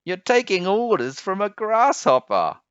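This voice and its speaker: A man voicing a cheeky, mocking, mischievous boy in a storybook, loud and exaggerated. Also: high voice, very animated, a little slow, clean tone, no echo, muffled recording, pitched above the performer's own voice, heavily acted.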